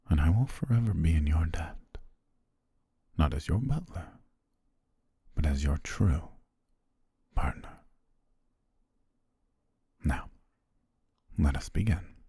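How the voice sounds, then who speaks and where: A very low, gravelly, husky voice: a man playing a formal, devoted butler murmuring to his princess bride, slow and hushed with a hint of quiet possessiveness. It sounds close and completely dry, like an intimate audio drama. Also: dry recording, full character voice. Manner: soft, formal, half-whispered delivery. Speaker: ASMR roleplay voice actor. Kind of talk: intimate ASMR roleplay monologue.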